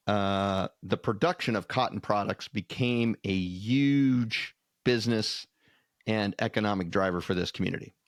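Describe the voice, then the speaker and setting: Guy cohosting a podcast, folksy storyteller, medium pitch, really dry sound. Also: no echo. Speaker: podcast co-host. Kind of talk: casual podcast chat.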